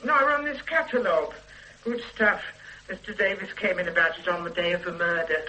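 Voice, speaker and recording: A man's voice: high-pitched, a little fast, sharp tone, rough recording. An actor playing a chatty shopkeeper in his sixties who knows everyone on the street, in a radio drama. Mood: gossipy. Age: 60s. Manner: gossiping, knowing. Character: chatty old male shopkeeper, gossipy. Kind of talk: 1970s radio drama acting.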